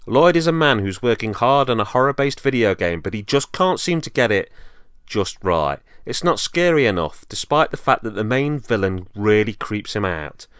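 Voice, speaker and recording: A man's voice: medium pitch, a bit bright, clear, resonant tone, no echo, studio quality. A man presenting a semi-scripted review as host, speaking in an earnest, enthusiastic way. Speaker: host and reviewer of horror films.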